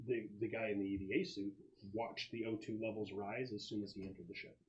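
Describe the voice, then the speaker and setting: Low-pitched male game master, halting and descriptive, improvising tabletop narration. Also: little pitch movement, muffled recording.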